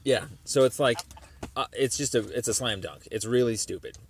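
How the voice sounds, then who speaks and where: A man making a phone call, explaining a complaint in a halting, wordy, circling way. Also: medium pitch. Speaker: caller. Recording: noisy phone call recording.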